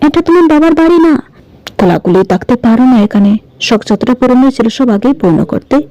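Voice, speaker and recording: A woman voicing carping in-laws who scold a young daughter-in-law in an audio story, sharp and reproachful. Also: sits high, expressive, a little fast, dry recording, lo-fi sound, voice pushed up.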